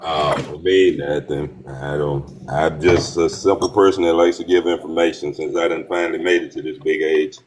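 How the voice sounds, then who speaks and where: A man's voice: very deep voice, steady tone, normal speed, few pauses, slight background noise. A man in his fifties speaking bluntly and with strong opinions as a guest in a casual podcast chat. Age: 50s.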